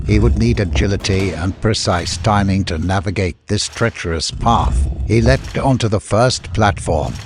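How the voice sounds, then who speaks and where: A man narrates fantasy adventure fiction in a fairly low voice. The reading is scripted and dramatic, in audiobook style. A slight noise sits behind the voice.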